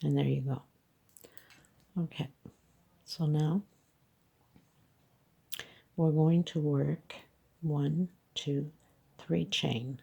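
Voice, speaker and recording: A woman's voice: sits low, steady tone, very slow, gravelly, long pauses, warm tone, slightly rough, lo-fi sound. A woman teaching crochet step by step, counting stitches patiently, her voice congested. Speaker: crochet instructor. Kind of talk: step-by-step craft tutorial.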